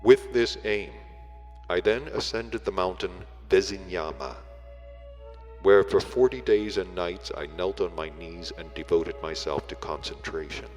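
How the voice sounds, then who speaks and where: A man reading a book aloud as solemn, scripted narration, in measured, deliberate phrases with weighty breaks. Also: sits low, darkish tone. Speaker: audiobook reader. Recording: long reverb, music behind the voice. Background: music.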